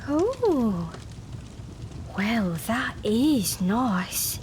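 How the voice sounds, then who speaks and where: A woman's voice: fairly high pitch, sing-song, very slow, darkish tone, a little hiss or hum, exaggerated voice. An actress playing a teasing, wry, streetwise young woman among London thieves in an audio drama. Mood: playful.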